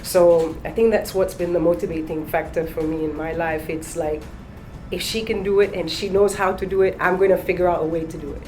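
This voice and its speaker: A woman's voice: fairly low pitch, steady tone, fairly quick, darkish tone, soft background music. A middle-aged woman, a trainer, chatting earnestly on a panel and telling her own story. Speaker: guest trainer. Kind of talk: casual panel chat.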